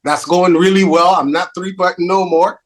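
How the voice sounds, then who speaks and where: A man with a Haitian accent tinged by New York, hosting a relaxed interview. His voice sits a touch high for a man, and he talks in an animated, self-deprecating way, often repeating his phrases. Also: normal speed. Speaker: podcast host. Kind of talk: casual interview chat.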